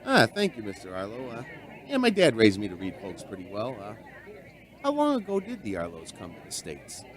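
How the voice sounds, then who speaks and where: An actor in a radio drama playing a friendly, curious barkeep turned amateur sleuth, with a folksy, hesitant, probing way of talking. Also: male voice, fairly low pitch, wildly swooping pitch, fairly quick. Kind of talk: radio drama acting and narration.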